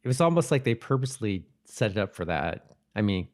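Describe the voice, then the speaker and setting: A man's voice: fairly low pitch, big pitch swings, brisk. A man co-hosting a podcast, chatting casually and informally about a TV episode. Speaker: podcast co-host. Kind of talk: casual chat reviewing a TV episode.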